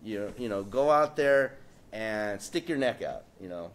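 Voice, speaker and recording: A man's voice: lowish voice, expressive, unhurried. A man speaking on a live panel, rambling with many fillers and restarts and joking often. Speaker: panelist, political cartoonist. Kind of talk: casual panel talk. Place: event space with audience.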